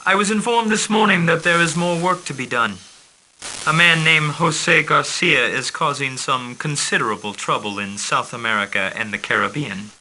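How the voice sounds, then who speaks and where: A calm, businesslike intelligence handler briefing a commander in a video game. His tone is very bright and crisp, and every order comes out formal and exact. A faint hiss sits under the recording. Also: a little high, moderate pace, rough recording. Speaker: mission briefing officer.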